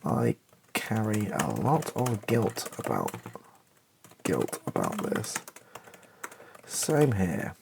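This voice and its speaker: A man's voice: on the low side, wildly swooping pitch, very slow, creaky, pauses often, background noise. A British man thinking aloud in an ASMR style as he writes, tentative, wry and self-mocking. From Britain. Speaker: ASMR video creator. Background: keyboard typing and clicks.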